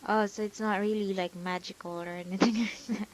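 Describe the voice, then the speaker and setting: A woman's voice: medium pitch, steady tone, brisk, a little hiss or hum, poor audio. A woman giving casual, informal playthrough commentary, musing aloud in a giggly way. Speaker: gaming video host.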